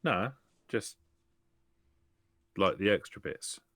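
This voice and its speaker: Low-voiced man, blunt and casual. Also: expressive, takes it slowly, fairly bright tone, no room sound, studio quality. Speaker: tabletop roleplay player. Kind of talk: casual in-character game talk.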